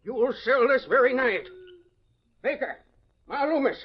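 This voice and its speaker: A man's voice: very high-pitched, animated, unhurried, slight background noise. An actor playing an indignant, sputtering American small-town banker, anxious about his money, in a 1940s radio western. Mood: blustery, insistent. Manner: sputtering, blustery. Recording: muffled old radio recording.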